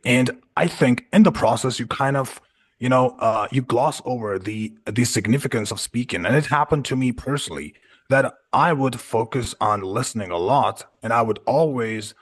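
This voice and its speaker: A man from Punjab whose first language is Urdu, a guest in an online class, talking in a relaxed way with lots of "you know" and "like". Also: mid-range voice, moderate pace, husky. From Punjab, Pakistan. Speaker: guest speaker.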